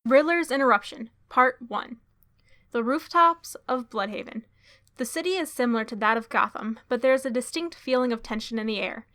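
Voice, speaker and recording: A woman playing a capable, confident young masked crime-fighter and narrating a radio drama in a brisk, matter-of-fact way. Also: a little high, fairly bright tone, close to an everyday voice. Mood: scene-setting.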